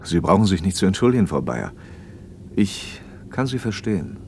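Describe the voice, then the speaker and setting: An actor playing a paternal, probing factory manager in a radio play, speaking in a measured, slightly didactic way. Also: male voice, sits low, sing-song, darkish tone, a little hiss or hum. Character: socialist factory manager, paternal, probing, talkative male official. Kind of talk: naturalistic German radio play dialogue.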